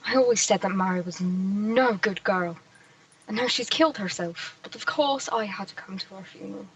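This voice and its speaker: Woman acting a distant, husky village busybody. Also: mid-range voice, moderate pace, no room sound, lightly acted. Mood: prim, disapproving. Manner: sanctimonious, gossipy. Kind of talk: old-time radio drama acting. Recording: distant from the microphone.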